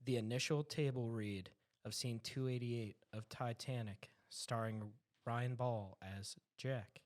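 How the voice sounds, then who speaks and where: A young man in his twenties serves as narrator of a script reading. His voice sits at a middle pitch. He delivers the stage directions dramatically, with amusement at the edges. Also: steady tone, a little slow. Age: 20s. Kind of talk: reading screenplay directions aloud.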